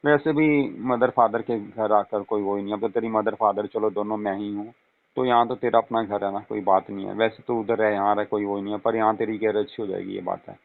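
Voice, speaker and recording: Male actor as soothing middle-aged dad on a phone call, lowish voice, coaxing and repetitive, thin narrow-band sound. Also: steady tone, a little fast, creaky. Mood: reassuring. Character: soothing, indulgent father of a married daughter. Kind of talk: staged phone conversation in casual Hindi.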